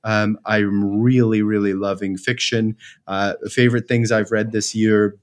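A man's voice: lowish voice, little pitch movement, measured pace. A man chatting casually as an interview guest, self-deprecating and enthusiastic, often restarting his sentences as he tells personal stories. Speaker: podcast guest, company co-founder. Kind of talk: casual chat, personal storytelling.

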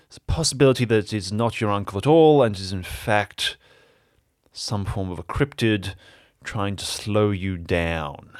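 A low-voiced, red-eyed parasite creature, acted by a man in an audio drama. It is cryptic and blunt, explaining itself with measured insistence, very close and dry. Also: dry recording.

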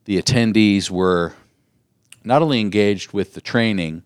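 A man's voice: lowish voice, unhurried, smooth, dry recording, studio quality. A man co-hosting a podcast, talking earnestly and reflectively in a casual conversation. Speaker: podcast co-host.

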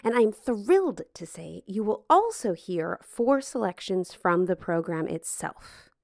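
A woman's voice: mid-range voice, very animated, a little slow, gravelly, darkish tone, no room sound, studio quality. A woman hosting a podcast, reading a scripted, effusive introduction of her guest. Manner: enthusiastic, effusive. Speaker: podcast host. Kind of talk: scripted introduction, then casual interview.

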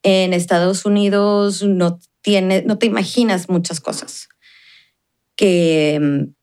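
A woman's voice: on the low side, steady tone, takes it slowly, darkish tone, smooth. A middle-aged woman from the border region, a podcast guest talking earnestly and reflectively, with many fillers. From Mexican border region. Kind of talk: casual conversation.

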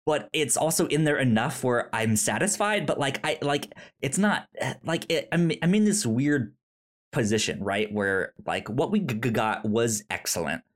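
A podcast co-host in casual film discussion, speaking haltingly and often stammering on repeated syllables. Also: mid-range voice, lively intonation.

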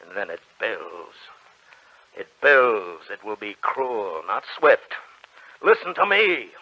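An actor playing a stern church inquisitor in an old-time radio drama, with a commanding, theatrical, threatening delivery. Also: male voice, on the low side, big pitch swings, takes it slowly, heavily acted. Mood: menacing, pressing. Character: stern, menacing male church inquisitor judging a heretic. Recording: dull, band-limited old radio recording.

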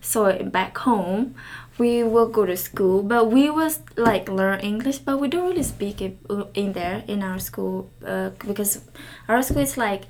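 Halting young woman from Thailand. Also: medium pitch, a little mellow. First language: Karen. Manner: halting, searching for words, many "like" fillers. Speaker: interview guest. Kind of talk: casual interview answers.

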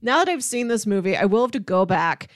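A woman's voice: medium pitch, animated, brisk, no echo, studio quality. A woman co-hosting a podcast, chatting casually about movies in an informal way, often dropping in "like". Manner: informal, peppers speech with "like". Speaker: podcast co-host.

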